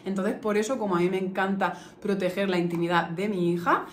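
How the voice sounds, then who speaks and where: A woman's voice: medium pitch, fairly even intonation, a little fast. A woman in her early thirties with a Castilian accent from La Mancha, chatting casually and affectionately to her viewers with emphatic repetitions. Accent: Castilian Spanish from La Mancha. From Albacete, La Mancha, Spain. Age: early 30s. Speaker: beauty vlogger. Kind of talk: casual chat to camera, answering viewer questions. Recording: echoey room with faint noise.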